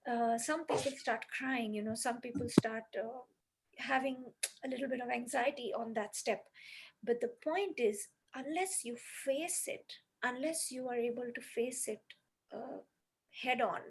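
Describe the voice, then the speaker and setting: Breathy higher-voiced woman on a podcast, earnest, explains step by step. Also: normal speed. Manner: earnest, explains step by step, many "you know"s. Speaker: music healing practitioner.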